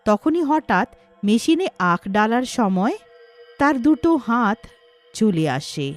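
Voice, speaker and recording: A woman's voice: fairly high pitch, big pitch swings, slow pace, faint music behind. A woman working as a story narrator, reading a scripted moral tale.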